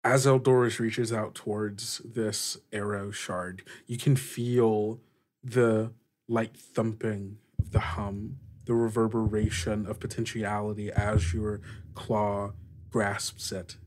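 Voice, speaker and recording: A man narrating an improvised tabletop game as game master, halting and searching for words as he goes. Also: lowish voice, level tone, darkish tone.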